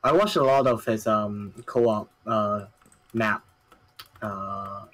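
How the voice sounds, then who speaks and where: A man's voice: on the low side, slow, fairly bright tone. A man co-hosting a casual livestream, dropping dry, teasing one-liners. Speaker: co-host.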